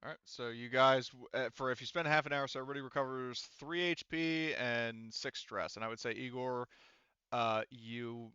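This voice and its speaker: A man acting as game master, casually running a tabletop roleplaying game in a halting, informal way, thinking aloud. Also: mid-range voice, bright, forceful tone, clean tone, no echo, dull audio.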